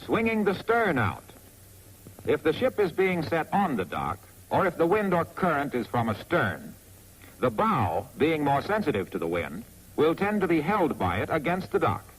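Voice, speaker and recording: A man with an American accent narrates a 1940s instructional film in a wry, clearly phrased, scripted manner on a vintage soundtrack. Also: a little high, wildly swooping pitch, moderate pace, a little hiss or hum, poor audio. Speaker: narrator of a military training film.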